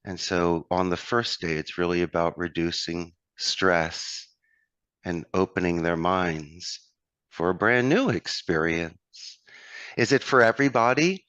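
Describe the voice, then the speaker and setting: Deep voiced philly guy, motivational interview talk, dry dull audio. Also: measured pace, a bit bright, clear, resonant tone, no room sound. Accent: Philadelphia. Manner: expansive, motivational, addresses host by name. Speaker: call center owner, guest. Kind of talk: conversational interview.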